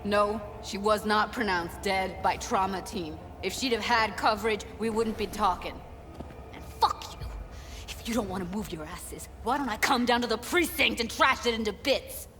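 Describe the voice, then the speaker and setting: A woman's voice: medium pitch, moderate pace, over music, close to an everyday voice. A woman playing a tough, blunt, foul-mouthed young woman, fiery and raw with grief, in a video game. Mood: furious.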